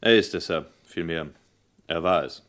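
A doubtful police lieutenant. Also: male voice, deep voice, normal speed, fairly bright tone. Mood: matter-of-fact. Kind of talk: radio crime drama acting.

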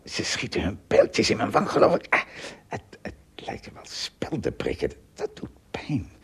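An actor playing an English castaway adventurer in a radio drama, an earnest, fearful storyteller with a theatrical, halting delivery that moves between hushes and exclamations. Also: male voice, fairly low pitch, wildly swooping pitch, husky, whispered, full character voice. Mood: astonished.

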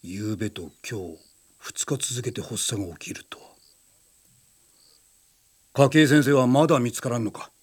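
A man playing a stern, commanding older patriarch in a radio drama, gruff and authoritative. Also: medium pitch, animated, measured pace, long pauses. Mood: grave, worried.

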